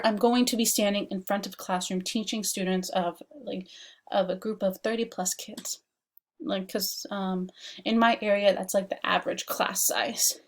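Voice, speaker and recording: A young woman from Washington DC giving a casual recorded class presentation as a student, chatty and full of "like" and "um". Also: mid-range voice. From Washington DC, United States. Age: young adult. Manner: chatty, frequent "like" and "um" fillers. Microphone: laptop webcam mic.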